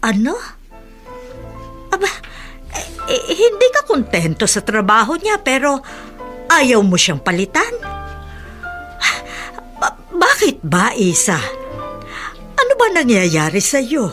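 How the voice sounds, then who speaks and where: A high-voiced older Filipino woman, frank and probing, in a radio drama. Her delivery is animated and exclamatory, with music underneath. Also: slow, pauses often, full character voice. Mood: astonished. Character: concerned, probing older woman confidante of the household.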